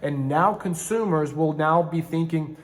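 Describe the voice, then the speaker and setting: Fields: Sex: man. Origin: Ukraine. Pitch: somewhat high. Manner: rambling vlog talk. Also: a little slow. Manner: rambling, self-correcting, conversational with frequent restarts. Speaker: channel host, online seller and manufacturer. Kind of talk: casual talking-to-camera vlog.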